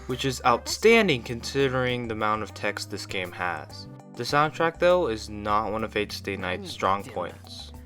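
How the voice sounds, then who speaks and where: A man's voice: fairly low pitch, fairly bright tone. Man narrating an informal review over music. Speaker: video reviewer. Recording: music behind the voice. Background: background music.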